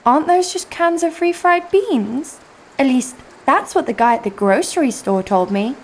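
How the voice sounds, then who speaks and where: A woman's voice: high voice, animated, normal speed, a little hiss or hum. Brash, sarcastic female adventurer. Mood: mocking, cocky. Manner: sardonic, cocky. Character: brash, sarcastic, foul-mouthed female adventurer narrating her exploits. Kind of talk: first-person audio drama narration and dialogue.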